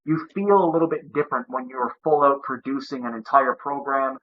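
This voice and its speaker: A man's voice: mid-range voice, brisk. A man hosting a podcast interview, speaking in long, wordy sentences. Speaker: podcast host. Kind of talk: interview host monologue. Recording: dull lo-fi sound.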